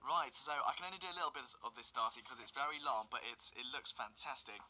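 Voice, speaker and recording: A male radio DJ with a very bright, sharp-edged tone, speaking at an ordinary middle pitch. He responds to listeners' messages warmly and encouragingly. His delivery is chatty and unhurried, with frequent pauses on um. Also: slight background noise, low-quality recording.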